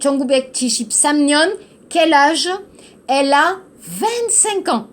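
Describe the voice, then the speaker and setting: A woman teaching to learners, explaining a text slowly and patiently, repeating key phrases and checking understanding. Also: sits high, expressive. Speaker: French teacher. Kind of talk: slow classroom explanation to learners.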